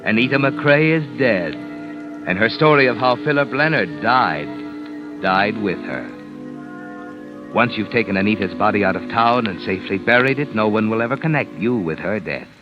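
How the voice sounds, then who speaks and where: An actor playing an ominous, knowing narrator in 1950s radio suspense, dramatic and sardonic. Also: male voice, lowish voice, lively intonation, unhurried. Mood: sardonic, urgent. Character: ominous, knowing male narrator addressing the killer. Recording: muffled vintage radio recording with music.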